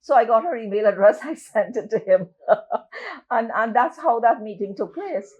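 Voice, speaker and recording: A woman telling a story in an interview, lively and acting out the dialogue. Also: on the high side, moderate pace, slightly rough, dull audio. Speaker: playwright and producer. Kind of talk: interview anecdote.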